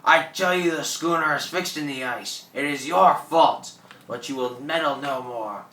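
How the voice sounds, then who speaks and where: An ancient French pirate captain for a radio play, boastful and defiant though his body fails. The delivery is slow, forceful and halting, sprinkled with French exclamations. It is recorded at a distance in an echoey, slightly noisy room. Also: mid-range voice. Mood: furious, possessive. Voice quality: halting, thickened speech. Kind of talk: radio drama acting. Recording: echoey room, distant mic, background noise.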